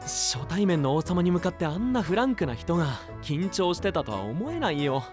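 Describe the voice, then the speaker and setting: A friendly, shrewd young male knight for an anime voice drama. He speaks softly and politely, with lively rises and falls in pitch. Music plays clearly behind him. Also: normal speed. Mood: teasing. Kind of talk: Japanese anime voice drama acting.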